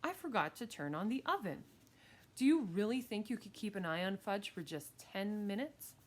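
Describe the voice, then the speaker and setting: A woman's voice: medium pitch, animated, normal speed, pitched above the performer's own voice, close to an everyday voice. A woman voicing a harried, affectionate, anxious mother in a children's audiobook, fretful and coaxing. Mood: hesitant.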